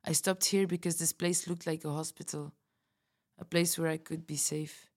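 Runaway expectant mother, acted by a woman: slightly low voice, earnest, measured, afraid. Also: fairly even intonation. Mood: earnest, fearful. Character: frightened pregnant mother of six who fled her community. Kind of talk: fiction podcast backstory monologue.

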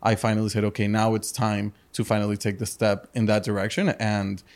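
Low-voiced man, earnest and halting. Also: little pitch movement, a little mellow. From Spain. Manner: earnest, many restarts and "correct?" tags. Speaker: entrepreneur guest. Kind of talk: casual interview talk.

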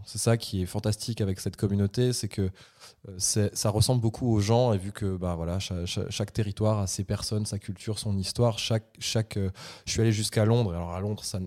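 A man's voice: lowish voice, steady tone, brisk, a little mellow. A man speaking as a podcast guest about supporting startups, talking eagerly with many restarts. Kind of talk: podcast conversation.

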